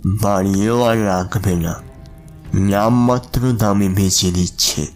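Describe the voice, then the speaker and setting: An actor playing a troubled, humble middle-aged businessman, speaking slowly and deferentially in an audio drama. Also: on the low side, fairly even intonation. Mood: troubled, recounting. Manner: slow, deferential, halting delivery. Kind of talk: dramatised audio story acting. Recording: music under the voice.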